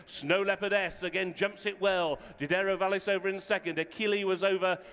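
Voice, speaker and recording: A man's voice: high-pitched, steady tone, brisk, a bit bright, smooth, big-room sound. A man giving live horse racing commentary as a fluent running call in clipped phrases.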